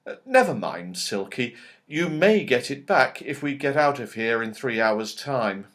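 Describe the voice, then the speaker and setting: A man's voice: mid-range voice, expressive, a little slow, a bit bright. A man voicing a practical, rather gloomy boy in a children's storybook, in a matter-of-fact way. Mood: resigned.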